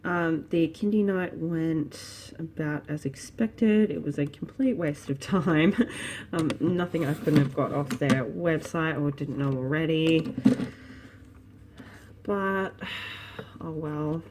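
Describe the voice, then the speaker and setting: Australian woman, 30s, low relaxed voice, rambling home-vlog chatter, noisy camera audio. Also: slow pace. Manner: rambling, chatty, thinks aloud. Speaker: vlogger and small online shop owner. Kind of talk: casual vlog chat. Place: home living room.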